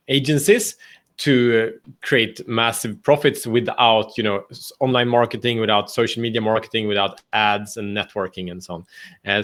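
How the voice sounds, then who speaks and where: A man's voice: on the low side, fairly bright tone. A man from Sweden, a business coach speaking enthusiastically on a video call with lots of fillers. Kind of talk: casual chat on a video call.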